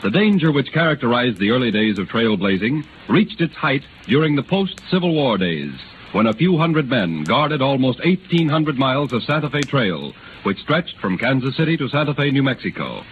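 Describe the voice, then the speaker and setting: A man's voice: medium pitch, very animated, normal speed. A man narrating a scripted radio drama in a formal, declamatory 1930s announcer style. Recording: noisy, tinny 1930s radio recording.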